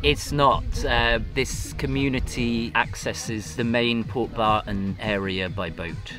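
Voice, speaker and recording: A man's voice: mid-range voice, fairly even intonation, slow pace, over music. A man from the trip group explaining plainly how the village is reached.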